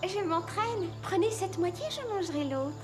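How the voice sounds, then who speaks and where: A little peasant girl in a fairy-tale cartoon. The voice is very high and childlike, coaxing and insistent with a sly edge. Loud music plays behind it. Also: lively intonation, moderate pace. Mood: eager.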